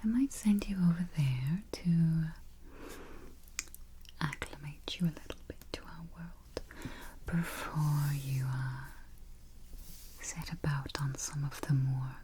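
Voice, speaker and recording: A woman whispering softly and calmly in an ASMR roleplay as a vampire travel agent, close to the microphone. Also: low voice, slight background noise. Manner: soft whispering, unhurried and reassuring. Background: keyboard typing and taps.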